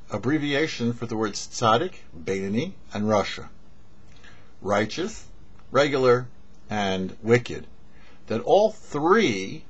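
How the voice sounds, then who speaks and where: Husky rabbi teaching, dull recording. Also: male voice, medium pitch. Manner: measured, explanatory, with deliberate pauses. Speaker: rabbi and show host. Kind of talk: short religious teaching to camera. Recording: dull, lo-fi webcam-style audio.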